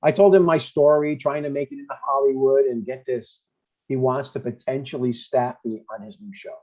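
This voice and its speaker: A man's voice: medium pitch, steady tone, moderate pace, warm tone, lo-fi sound, lightly acted. A man playing a chatty, stubborn older father in a comedy table read, in a conversational, mildly needling way. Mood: pleased, chatty.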